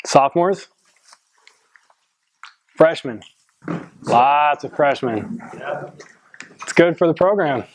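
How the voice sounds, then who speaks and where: A man in his twenties giving a motivational talk to a high school football team, earnest, with many ums and restarts. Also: lively intonation, slow pace, long pauses, dry recording. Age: 20s. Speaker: motivational speaker.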